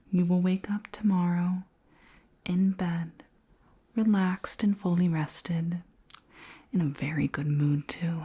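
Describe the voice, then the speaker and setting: A woman acts out an alien queen, curious and clinical yet tender, for whispered ASMR. Her voice is low, dark and very breathy. She addresses the listener slowly, in formal, soothing phrases. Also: heavily acted. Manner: slow, gentle, formally worded, soothing.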